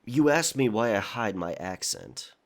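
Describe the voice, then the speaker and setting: A man playing a guarded, brooding pirate in an audio drama, speaking in a halting, reserved way. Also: on the low side, very animated. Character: guarded, brooding male pirate with a hidden past.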